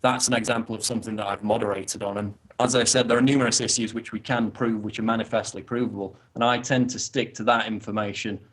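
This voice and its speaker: A man from northern England with a toned-down northern English accent, giving measured, explanatory interview answers with a wry edge. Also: fairly low pitch, little pitch movement, a bit bright, slightly rough, poor audio. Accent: softened northern English. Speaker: guest commentator.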